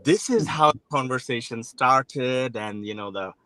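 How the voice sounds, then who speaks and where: A man in his forties from Bangladesh talks as an interview guest, frank and reflective, often breaking off and restarting his thoughts. His voice sits at an ordinary middle pitch. The recording is close and dry. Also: unhurried, bright, forceful tone, clean tone, dry recording, rough recording. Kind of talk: interview conversation.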